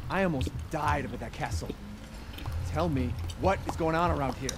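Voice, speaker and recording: A man's voice: fairly high pitch, normal speed, natural voice. A man playing a desperate, blunt father in a video game, urgent and frustrated. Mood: exasperated, urgent. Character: desperate, exasperated father searching for his daughter. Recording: game ambience noise behind.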